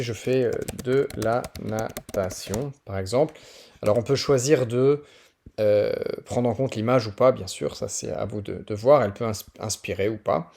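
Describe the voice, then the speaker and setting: A man's voice: medium pitch, darkish tone. A man from northern France with a French accent presents teaching resources in an informal, explanatory screen tutorial, often hesitating as he thinks aloud.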